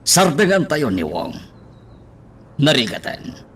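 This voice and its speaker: A man's voice: mid-range voice, big pitch swings, takes it slowly, a bit bright, slightly rough, exaggerated voice. An actor playing a commanding, wary male boss in an Ilocano radio drama. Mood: wary, calculating. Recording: faint background noise.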